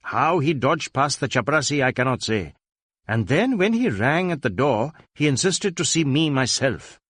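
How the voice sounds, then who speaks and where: A man's voice: mid-range voice, big pitch swings, moderate pace, a bit bright, no echo, clean studio sound. A man voicing an indignant, self-important Indian company proprietor in an audiobook detective story. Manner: indignant, emphatic. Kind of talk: audiobook character acting.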